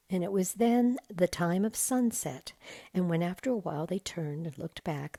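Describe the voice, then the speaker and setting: A woman reading a bedtime story aloud in a measured, deliberate way. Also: fairly low pitch, warm tone. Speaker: volunteer storybook reader.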